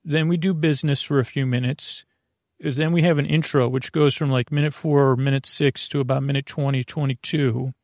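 Man, middle-pitched, gravelly fry, dry recording, bedtime podcast host, rambling, hesitant, self-deprecating, digressive. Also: fairly even intonation, brisk, a little mellow, dry recording. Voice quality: creaky, gravelly. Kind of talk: rambling bedtime monologue to listeners.

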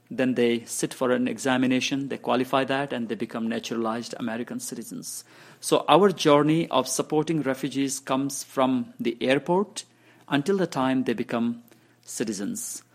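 Gravelly man explaining refugee resettlement work. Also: mid-range voice. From Bhutan. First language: Nepali. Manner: measured, explanatory, counts points off in order. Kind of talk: interview answers.

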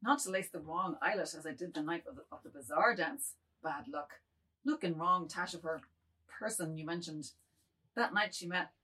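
A woman's voice: lowish voice, moderate pace, pushed and bright, slightly rough, rough recording. A woman playing a submissive, wordy, fretful aging man, speaking florid lines in a dramatised literary reading. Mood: meek. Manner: florid, meek, rambling.

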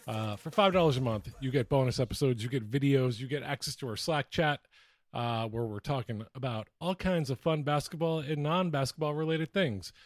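A man's voice: mid-range voice, a little fast, dry recording. A man co-hosting a podcast in a casual, chatty style. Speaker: podcast co-host. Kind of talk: casual chat.

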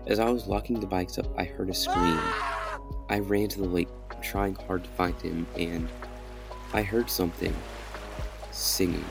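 A man's voice: deep voice, steady tone, unhurried, vocal fry. A young man reading his own horror story as a podcast host, in dramatic first-person narration. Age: young adult. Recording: music behind the voice.

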